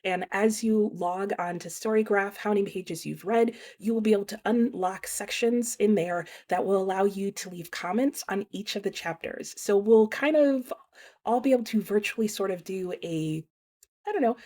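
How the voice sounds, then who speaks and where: A young woman hosting her book channel, announcing a readalong in a chatty, casual way with lots of fillers and lively swings in pitch. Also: normal speed. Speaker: booktube host.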